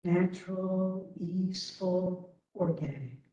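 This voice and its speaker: A woman leading a guided meditation as instructor, gently and unhurriedly, leaving long pauses between phrases. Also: lowish voice, steady tone, dull audio. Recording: roomy, distant webinar audio.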